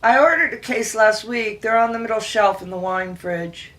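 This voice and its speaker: A woman's voice: fairly high pitch, moderate pace, a bit bright, distant mic, close to an everyday voice. Woman acting controlling husband, outwardly pleasant, projecting. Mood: casual, calling out.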